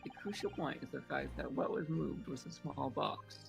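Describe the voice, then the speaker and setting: Gravelly voice reading courtroom game dialogue. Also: mid-range voice, moderate pace. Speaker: player voicing a defense lawyer in a fan dub. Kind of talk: group game playthrough, acting out dialogue lines. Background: game music.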